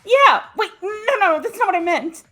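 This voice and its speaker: A woman's voice: extremely high pitch, animated, moderate pace, pushed and bright, faint music behind, full character voice. A woman voicing a nervous, stammering schoolgirl who defends herself against an accusation in a fan dub of a game. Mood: defensive.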